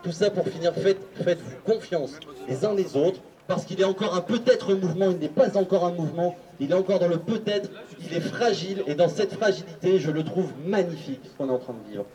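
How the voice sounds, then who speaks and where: A man's voice: sits high, brisk, hardly any pauses, warm tone, far from the mic. A man giving an earnest, repetitive speech to an open-air assembly under a tarp. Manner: earnest, repeats himself. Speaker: speaker at a public assembly. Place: outdoor square under a tarp.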